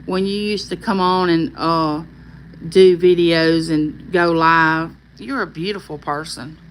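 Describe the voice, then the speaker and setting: A woman with a Southern Arkansas accent hosts a casual livestream, reading viewer comments aloud and answering each by name in a calming voice. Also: low voice, measured pace, slight background noise, rough recording. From California. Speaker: livestream host.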